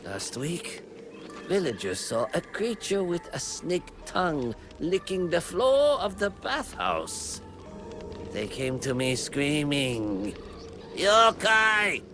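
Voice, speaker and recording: A man's voice: on the high side, big pitch swings, takes it slowly, over music. An actor playing a weary, exasperated old man in a video game, with a theatrical, emphatic delivery. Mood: indignant, emphatic. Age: older. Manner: theatrical, emphatic, swinging between mutters and shouts. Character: exasperated, weary old male investigator of monster rumors.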